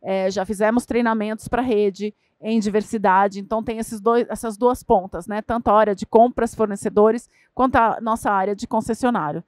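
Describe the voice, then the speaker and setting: A woman's voice: mid-range voice, steady tone, normal speed, hardly any pauses, darkish tone, dry recording, studio quality. A woman with a Brazilian Portuguese accent speaking on a conference panel as a corporate diversity lead. She answers conversationally and often adds tag questions and fillers. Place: conference stage.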